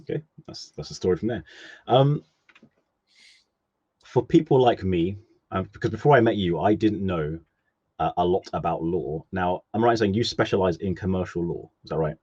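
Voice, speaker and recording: A man's voice: low-pitched, lively intonation, moderate pace, warm tone, husky, dull audio. A man hosting a live stream interview, asking rambling friendly questions with lots of fillers.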